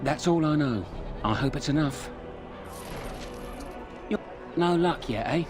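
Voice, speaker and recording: An actor playing a chummy, sly informant selling tips in a video game, speaking colloquially over game music. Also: male voice, a little high, expressive, takes it slowly. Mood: brisk, sly. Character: conspiratorial male informant selling tips to the rebels. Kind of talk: video game character dialogue.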